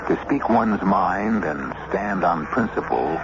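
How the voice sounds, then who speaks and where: A man narrating as host of a radio mystery series, in a measured, deliberate, theatrical scripted style. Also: low-pitched, expressive. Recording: very noisy, muffled old radio recording.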